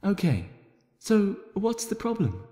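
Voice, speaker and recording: Man doing a high-pitched gentle female pony. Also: huge pitch swings, takes it slowly, roomy sound, long reverb tail, heavily acted. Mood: supportive. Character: gentle female cartoon pony, sweet and timid.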